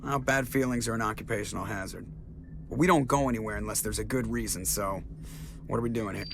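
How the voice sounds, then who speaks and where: An actor playing a wisecracking, suspicious starship pilot in a video game, with a cocky, sarcastic delivery. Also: male voice, fairly low pitch, fast, creaky, a bit bright, slight background noise. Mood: sarcastic, griping. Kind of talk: video game cutscene acting.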